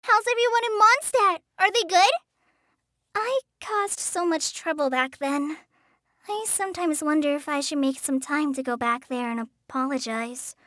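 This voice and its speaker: Timid teen girl game character, really high voice, sweet-natured n hesitant, sorry about everything, super dry recording. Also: no echo. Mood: anxious. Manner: hesitant, apologetic, soft-hearted, halting with stammers. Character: shy, earnest teenage girl, trainee forest ranger. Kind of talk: video game character voice lines.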